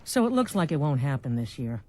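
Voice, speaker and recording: A woman's voice: rumbling low, big pitch swings, brisk, dark tone. A woman voicing a practical, chatty wine merchant in a video game, with a businesslike manner. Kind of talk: video game character dialogue.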